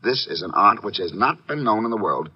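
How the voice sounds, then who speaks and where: Smug wealthy aesthete, grandiose, effusive, very animated mid-pitched voice, 1950s radio drama, dull vintage recording. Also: male voice, fairly quick, breathy edge. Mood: rapturous. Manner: effusive, grandiose, repeats words for emphasis. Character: rapturous, self-satisfied rich art-collecting songwriter.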